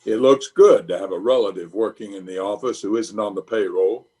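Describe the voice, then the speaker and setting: A man's voice: lowish voice, brisk, dark tone, slightly rough. A man playing a blustering, self-important middle-aged uncle and small-town official in an old-time radio comedy, with a pompous manner. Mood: bossy.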